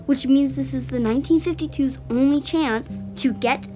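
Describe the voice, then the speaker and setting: A very high, childlike voice of a precocious little sister acted by a woman. She is haughty and dramatic, a bratty know-it-all in an audio adventure, with music playing behind her. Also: moderate pace, warm tone, close to an everyday voice.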